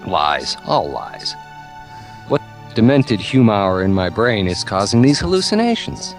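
A haunted, gloomy doctor played by a man speaks slowly and theatrically. His halting voice swings widely in pitch, in radio play melodrama over faint music. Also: very animated. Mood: frightened, defiant.

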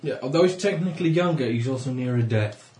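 A man's voice: medium pitch, lively intonation, fast, darkish tone. A man with a Yorkshire accent playing a tabletop game and making dry, wry remarks. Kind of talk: casual chat at a game table. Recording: distant roomy mic with faint noise.

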